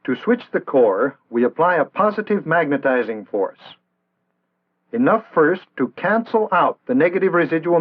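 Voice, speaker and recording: Tinny vintage film narrator, American man. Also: on the high side. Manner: measured, formal, didactic. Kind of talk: scripted instructional narration, early 1960s film. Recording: tinny band-limited vintage 1960s film soundtrack.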